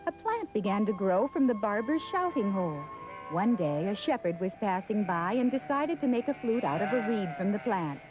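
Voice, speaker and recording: A woman's voice: mid-range voice, expressive, moderate pace. A woman narrating a scripted fairy tale as a storyteller. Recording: thin phone-like sound, music behind. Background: music.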